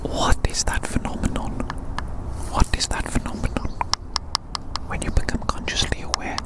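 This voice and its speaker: An ASMR performer whispering breathily in a medical inspection roleplay, slowly repeating phrases in a playfully eccentric, mock-formal way, recorded outdoors. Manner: slow, repeats phrases softly. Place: outdoors in light wind.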